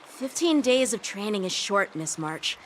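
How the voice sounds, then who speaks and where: A woman voicing a polite, earnest teenage boy swordsman and teacher in an anime-style game, courteous and measured. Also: medium pitch, sing-song, slight background noise. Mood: earnest, encouraging.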